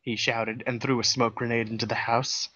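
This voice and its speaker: A man narrating a comic fan-fiction story in a deadpan way, with casual asides, on a slightly dull recording. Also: mid-range voice, moderate pace. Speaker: co-reader, narrator.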